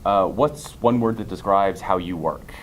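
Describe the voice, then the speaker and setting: A man with a very low, deep voice interviews a guest at a conference. His questions are relaxed and easygoing, and he affirms answers often. Faint background noise sits under the recording. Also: measured pace. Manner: relaxed, affirming, frequent backchannel. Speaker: interviewer, series host.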